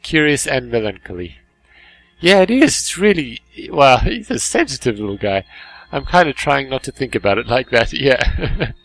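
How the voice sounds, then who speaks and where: A man's voice: mid-range voice, very animated, moderate pace, no room sound, rough recording. A man gives a casual let's play commentary in a mid-range voice, with music playing behind him. He is chatty and easily amused, talking to viewers as a gaming host. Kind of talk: casual let's play commentary, reading game dialogue aloud. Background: game music.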